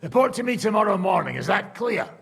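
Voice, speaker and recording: Medieval officer,, game cutscene: curt and bossy, very raspy voice, animated delivery. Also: male voice, high-pitched, fairly quick, big-room sound, heavily acted. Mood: curt, commanding. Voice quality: gravelly, very rough. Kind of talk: video game cutscene acting.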